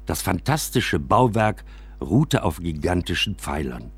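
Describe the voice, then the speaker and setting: Expressive low-voiced wry storyteller. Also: male voice, measured pace, gravelly, breathy edge, heavily acted. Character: man recounting his railway-side childhood with wry exaggeration. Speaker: narrator. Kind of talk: scripted first-person radio play narration, storytelling.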